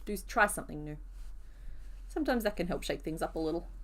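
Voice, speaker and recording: Woman host, crafting live stream, voice a bit hoarse today, chatty and easygoing with her chat. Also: fairly low pitch, expressive, fast, fairly bright tone. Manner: chatty, answers viewers' comments as she works. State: rough, hoarse voice. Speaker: crochet streamer. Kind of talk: casual live-stream chat while crocheting.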